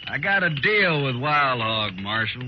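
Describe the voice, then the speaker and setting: A trigger-happy frontier villain in old radio drama style. His delivery is animated and mocking, with a folksy sneer. The recording is muffled and clearly noisy. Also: mid-range voice, unhurried. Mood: boastful. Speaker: actor in a radio western. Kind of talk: 1950s radio drama acting.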